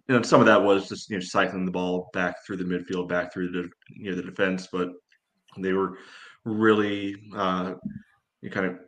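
A man co-hosting a casual sports analysis chat in a halting way, leaning heavily on "you know". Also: low voice, a bit bright. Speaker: podcast co-host and game analyst.

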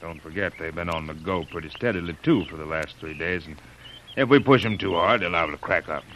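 An actor playing a gruff, practical expedition boss with plain-spoken, folksy phrasing, in 1930s radio adventure style. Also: male voice, low-pitched, brisk, a bit bright. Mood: urgent. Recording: noisy old radio recording.

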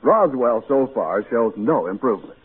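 A man's voice: medium pitch, animated, normal speed, slightly rough. Sweeping vintage American radio announcer, male. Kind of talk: 1940s radio announcing, scripted narration. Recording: tinny, faintly noisy 1940s radio recording.

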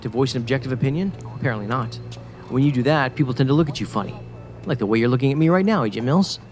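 A man's voice: mid-range voice, expressive, very fast, a little mellow, lightly acted. A man playing a stubborn, sardonic federal agent in a science fiction audio drama, speaking dryly and insistently. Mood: intent, dry. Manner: dry, insistent, sarcastic. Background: music.

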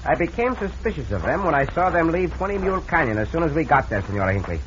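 Confident Mexican western hero, highish animated voice, courteous phrasing, Mexican accent, old radio drama, narrow lo-fi sound. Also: male voice, quick pace, slight background noise. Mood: resolute. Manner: courteous, formal phrasing with Spanish words. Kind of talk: 1940s-50s western radio acting.

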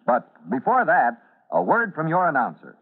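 A man's voice: fairly high pitch, huge pitch swings, normal speed, slightly rough. A man narrating a vintage radio serial dramatically, addressing listeners directly as its announcer. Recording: tinny old radio recording.

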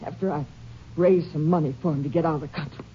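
A woman's voice: deep voice, steady tone, quick pace, natural voice. An actress playing a frightened, evasive young American woman in a radio crime western, anxious and pleading. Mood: resigned confessing. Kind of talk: 1950s American radio drama acting. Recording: muffled early-1950s radio, faint noise.

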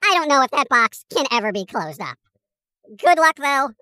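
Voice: high voice, sing-song, moderate pace, bright, forceful tone, no room sound, exaggerated voice. A performer voicing a wisecracking, cynical cartoon squirrel who delivers comic news chat in a sardonic, dismissive manner. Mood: dry, dismissive. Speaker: podcast host character.

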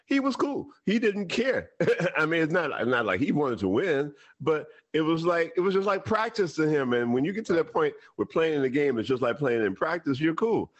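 A man's voice: a little high, very animated, moderate pace. A man co-hosting a sports radio show, talking easily with chuckles and preachy asides. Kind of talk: casual podcast banter.

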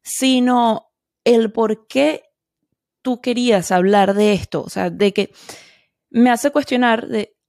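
A woman hosting a podcast asks her questions at an ordinary pitch and keeps agreeing along the way. Her voice sounds dry and close to the mic. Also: a little mellow, no echo. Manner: frequent agreeing "claro". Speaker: podcast host. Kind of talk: interview questions.

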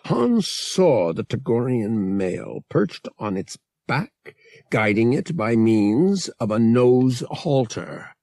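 A man narrating a science fiction novel in a measured, expressive storytelling manner. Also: medium pitch, no echo, studio quality. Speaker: audiobook narrator.

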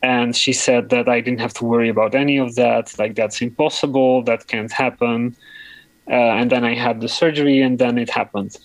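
A man with a deep voice giving a personal account in an interview, often pausing and searching for words. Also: fairly even intonation, no echo, rough recording. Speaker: interview guest.

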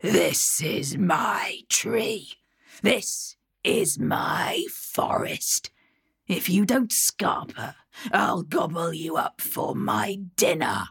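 A woman voicing a big, hairy, greedy male troll in a breathy whispered growl. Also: fairly low pitch, big pitch swings, very slow, a bit bright, exaggerated voice. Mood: menacing.

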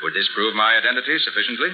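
An actor playing a brisk, courteous, inquisitive private detective in a 1940s radio drama. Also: male voice, lowish voice, lightly acted. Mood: confident, courteous. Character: self-assured, courteous male private detective. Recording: tinny, noisy 1940s radio recording.

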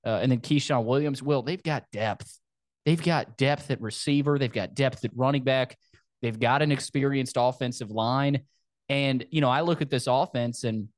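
An American man in a mid-pitched voice talks casually as a sports podcast host. The sound is close and dry, and he talks through the game preview conversationally, as if to a co-host. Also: no room sound. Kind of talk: casual football preview chat.

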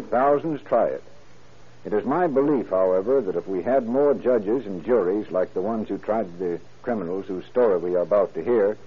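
A man's voice: on the low side, husky. A man speaking as a county sheriff, reading a formal, stern scripted address in a stiff way. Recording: muffled 1930s radio broadcast.

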